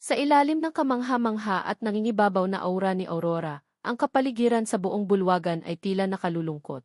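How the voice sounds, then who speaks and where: A woman's voice: medium pitch, a little fast, a little mellow, no room sound, clean studio sound. A woman reading a novel aloud as a scripted audiobook narration.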